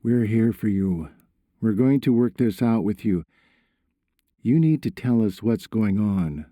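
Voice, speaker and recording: A man's voice: on the low side, expressive, measured pace, mellow, no echo. Guy reading as a worried dad in an audiobook, firm but caring, urgent, dry close sound. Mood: concerned.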